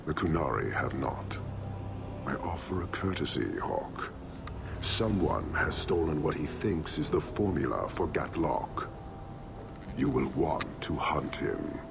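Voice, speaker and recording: An actor playing a stern, coldly courteous horned giant warlord in a fantasy video game, gravelly and measured, speaking in terse formal phrases. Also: male voice, big pitch swings, noisy background, exaggerated voice. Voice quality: deep, gravelly. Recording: game music behind the voice.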